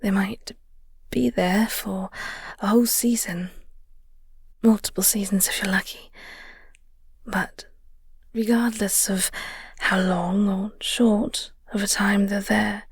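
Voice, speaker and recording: A woman playing a flirty, teasing vampire nemesis who secretly cares, in an intimate audio roleplay, speaking softly and half-whispered with wry, elegant phrasing. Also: mid-range voice, fairly even intonation, no room sound, full character voice. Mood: tender, consoling. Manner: breathy, soft, slow, half-whispered delivery.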